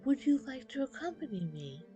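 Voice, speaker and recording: A woman voicing a sweet, cheerful and confident young priestess in a story, with a gentle, polite, perky manner. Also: high-pitched, very animated, moderate pace, soft background music, voice pushed up. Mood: perky, inviting. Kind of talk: storybook character voice.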